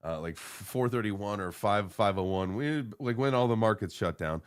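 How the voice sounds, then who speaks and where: Low-voiced, laid-back American man livestreaming. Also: expressive, moderate pace, smooth. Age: early 40s. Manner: laid-back, informal, sweary. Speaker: livestream show host. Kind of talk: casual, rambling morning livestream chat.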